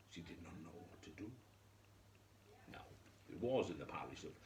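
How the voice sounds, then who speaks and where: A man storyteller narrating a scripted fairy tale for children, theatrically, in measured phrases with dramatic pauses. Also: low voice, a bit bright. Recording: echoey room with faint noise.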